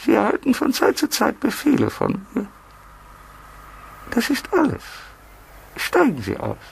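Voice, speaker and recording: An actor playing a cold, menacing blackmail mastermind in a radio crime play, with a husky disguised voice and clipped commands. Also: male voice, sits high, huge pitch swings, a little slow, background noise, exaggerated voice. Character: menacing anonymous blackmail mastermind giving orders.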